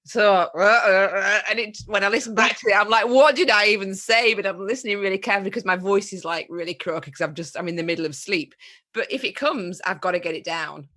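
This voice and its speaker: A woman hosting a podcast, supportive and quick to agree. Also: medium pitch, bright, forceful tone. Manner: supportive, lots of short agreements. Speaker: podcast host. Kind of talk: casual interview chat.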